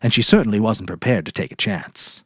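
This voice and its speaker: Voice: mid-range voice, fairly quick, no room sound, studio quality. A narrator giving a dramatic reading of a story.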